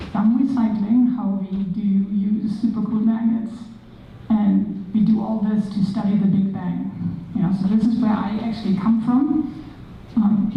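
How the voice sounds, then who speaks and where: German-accented woman in an echoey hall. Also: medium pitch. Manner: chatty, many fillers, self-deprecating. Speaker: guest physicist speaker. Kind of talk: informal public talk. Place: school auditorium. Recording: echoey hall, distant mic, dull.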